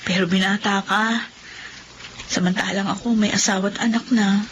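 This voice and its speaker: A woman's voice: medium pitch, fairly even intonation, moderate pace, dull audio, slight background noise, natural voice. An actress playing a betrayed young mother in an audio drama, tearful and pleading. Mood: tender. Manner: tearful, pleading, bursts into shouting. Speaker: wronged wife. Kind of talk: Filipino radio-style audio drama.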